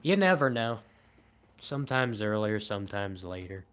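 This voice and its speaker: Casting note: young man in his twenties, livestream host, monotone delivery, lowish smooth voice. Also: moderate pace. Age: 20s. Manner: monotone, calm and low-key, says he shows little emotion. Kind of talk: casual late-night livestream chat.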